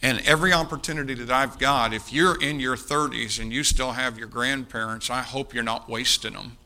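A man's voice: mid-range voice, pushed and bright. A man in his fifties preaching a sermon to a congregation, emphatic, repeating key phrases and addressing his listeners directly. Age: 50s. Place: speaking live before an audience.

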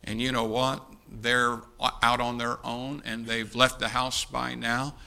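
Forceful middle-aged preacher, bright sharp voice. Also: male voice, medium pitch, flat delivery, a little slow, creaky, smooth. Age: 50s. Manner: emphatic, repeats key phrases, addresses listeners directly. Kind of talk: sermon, exhorting a congregation. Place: speaking live before an audience.

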